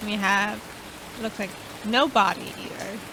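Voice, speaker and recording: A woman's voice: medium pitch, fairly even intonation, a little slow, noisy background. A woman doing casual playthrough commentary on a video game and reading passages from it aloud. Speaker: gaming video host.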